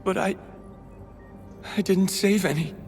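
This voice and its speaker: An actor playing an anguished, guilt-ridden rescue worker in a video game, speaking haltingly. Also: male voice, high voice. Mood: shaken. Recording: faint music behind the voice.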